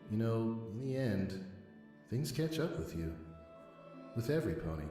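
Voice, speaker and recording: Man acting a mellow, reproachful pony workmate, heavily echoed. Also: on the low side, sing-song, moderate pace. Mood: concerned, reproachful. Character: concerned, reproachful male pony co-worker with a conscience. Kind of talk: audio drama acting. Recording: very echoey, faint music behind.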